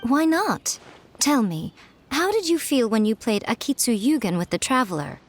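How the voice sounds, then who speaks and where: A woman voicing an elegant, worldly fox spirit lady in a video game, poised, knowing and gently teasing. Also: a little high, big pitch swings, normal speed, faint music behind.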